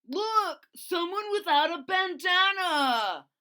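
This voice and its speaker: A woman's voice: very high voice, expressive, slow pace, bright, forceful tone, voice pushed up, full character voice. A woman voicing a boastful, bossy young male dinosaur bully in a storybook, with taunting, emphatic delivery. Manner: taunting, emphatic, stretched-out words.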